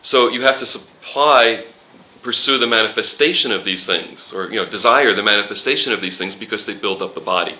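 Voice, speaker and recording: Bright-voiced man teaching a Bible class. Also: fairly low pitch, a little fast, hardly any pauses, rough recording. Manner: conversational, quotes scripture, jokes with the class.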